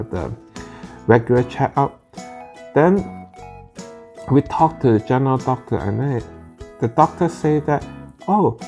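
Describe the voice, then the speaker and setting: A man with Cantonese as his first language speaks slowly in halting English, with restarts along the way. His voice is gravelly and mellow, at an ordinary pitch. Soft guitar music plays faintly underneath. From Northern California Bay Area. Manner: halting, frequent "like" and restarts, plain non-native phrasing. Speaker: parent vlogger. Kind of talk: casual personal storytelling.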